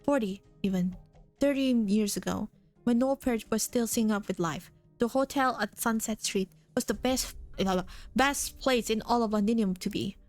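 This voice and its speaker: A woman voicing a warm, rambling older man who keeps a hotel, with faint music behind. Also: fairly high pitch, normal speed, close to an everyday voice. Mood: fond. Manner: rambling, fond, nostalgic. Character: warm, chatty older male hotel keeper.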